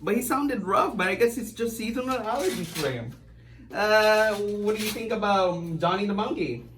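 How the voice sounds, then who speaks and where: A show host chatting casually in a halting, hesitant way. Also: mid-range voice, lively intonation, a bit bright. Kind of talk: casual unscripted show chat. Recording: distant mic with background noise.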